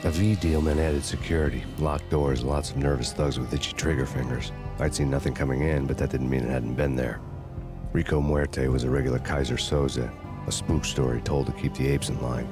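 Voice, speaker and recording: An actor playing a weary, hardboiled cop who narrates a noir game in a flat, cynical deadpan. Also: male voice, rumbling low, brisk. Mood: grim. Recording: game soundtrack music behind.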